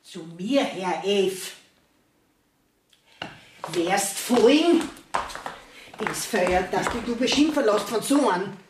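An actress playing a middle-aged, indignant peasant mother in a Bavarian dialect radio play, scolding with drawn-out emphasis. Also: mid-range voice, expressive, reverberant, slight background noise. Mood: indignant, stubborn.

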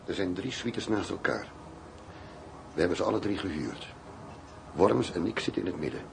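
A man's voice: low voice, animated, normal speed, creaky, background noise. An actor playing a controlled male undercover agent in a radio drama, speaking in a terse, businesslike, guarded way. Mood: brisk, tense. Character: controlled undercover agent posing as shady arms-dealing doctor.

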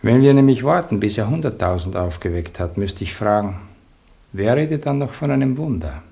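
A hypocritical Austrian senior official in a radio play. He is pompous and pedantically precise, in a low, creaky voice with very varied melody. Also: animated, brisk, warm tone. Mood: sanctimonious. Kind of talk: German-language radio play dialogue.

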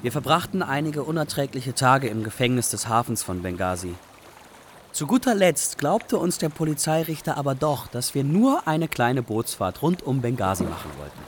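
A man's voice: mid-range voice, sing-song, moderate pace, a little hiss or hum, natural voice. A man acting as a young Iraqi refugee, candidly and expressively narrating adventures in a radio play.

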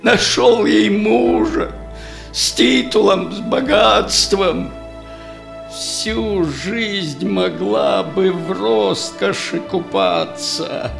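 Audio drama casting: proud old merchant father, gravelly, slightly high voice, remorseful, theatrical verse delivery. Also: music in the background, exaggerated voice. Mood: anguished, lamenting. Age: older. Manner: slow, theatrical, lamenting rhymed delivery.

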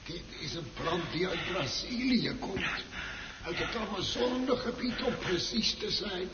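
A man's voice: sits high, expressive, unhurried. An actor playing a solemn male expert explaining exotic plants in a radio drama. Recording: echoey, distant, noisy muffled radio recording.

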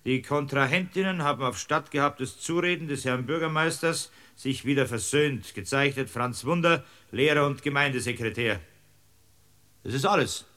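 A man's voice: medium pitch, moderate pace, bright, forceful tone, natural voice. An actor in a radio play playing a stern, middle-aged district judge, brusque, formal and exasperated as he questions a witness. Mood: scornful, formal.

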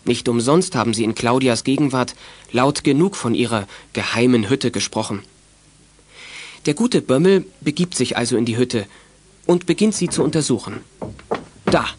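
A man's voice: medium pitch, animated, moderate pace, no room sound. A man narrating a children's audio drama from a script in a knowing storytelling manner.

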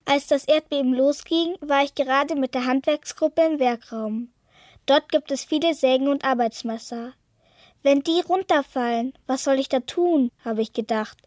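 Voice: high-pitched, moderate pace, a little mellow, no room sound, clean studio sound, close to an everyday voice. A female speaker voicing a scared young schoolchild giving scripted radio testimony about an earthquake. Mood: fearful, earnest.

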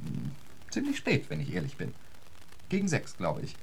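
An actor playing a witty, urbane gentleman poet in a radio drama, elaborately polite, wry and eloquent. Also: fairly high pitch, wildly swooping pitch, normal speed, vocal fry, a little hiss or hum, full character voice. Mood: courteous, breezy.

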